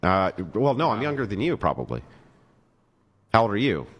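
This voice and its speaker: A man's voice: lowish voice, very animated, moderate pace. A man in his forties in a heated debate, pressing his opponent and repeating demands for an answer. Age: 40s. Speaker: podcast debater.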